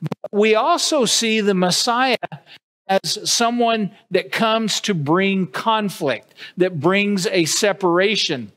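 A middle-aged pastor preaching in a church sanctuary, his voice sitting high for a man. He speaks slowly and earnestly, restarting phrases as he builds his points. The sound is picked up by a podium mic. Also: a bit bright, low-quality recording. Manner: earnest preaching with wide arm gestures, frequent restarts. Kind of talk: sermon. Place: church sanctuary with empty pews. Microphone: podium mic at a distance, far camera.

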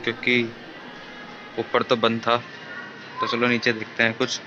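This is a man narrating a casual vlog in a mid-pitched voice. He addresses viewers as he goes along. Loud music plays over his words. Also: monotone, measured pace, highs cut off. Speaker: vlogger.

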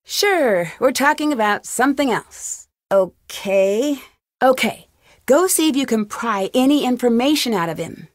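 An actress playing a young amateur detective in a video game, upbeat, caring and wry, with a friendly, lightly teasing delivery. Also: female voice, a little high, expressive, a little slow, dry recording, clean studio sound. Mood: wry, curious. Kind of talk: scripted video game voice acting.

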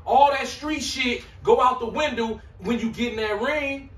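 Brash high-voiced man trash-talking. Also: moderate pace, fairly bright tone. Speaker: church elder. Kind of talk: heated group talk. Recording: roomy, mic far off.

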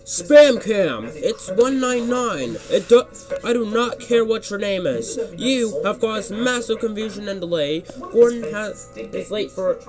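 A man's voice: fairly high pitch, normal speed, over music, natural voice. A man voicing an annoyed, stern male railway controller who scolds in a children's story. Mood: outraged.

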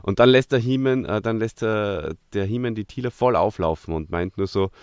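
Low-pitched man hosting, dry close sound. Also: moderate pace, darkish tone, clear, resonant tone, no echo. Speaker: podcast host. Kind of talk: casual chat, reviewing an audio drama.

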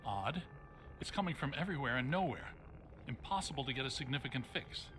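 An actor playing a bookish, unflappable scientist in a video game, speaking precisely and pedantically with a deadpan delivery. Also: male voice, medium pitch, fairly quick, a little hiss or hum, lightly acted, rough recording. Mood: deadpan, wary. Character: bookish, unflappable male scientist ghost hunter. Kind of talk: animated video game acting. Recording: loud music behind the voice.

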